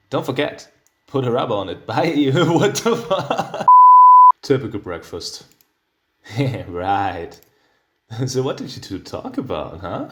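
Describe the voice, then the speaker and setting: A man's voice: mid-range voice, slight background noise. A man reading visual novel dialogue aloud and reacting to it as he plays. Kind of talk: reading game text aloud with amused reactions. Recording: roomy, echoing sound.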